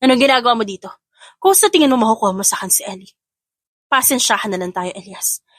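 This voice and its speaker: A woman's voice: on the high side, lively intonation, fast, dry recording, studio quality. A woman playing a hurt, defiant young mother in a Tagalog audio drama. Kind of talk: scripted audio drama acting.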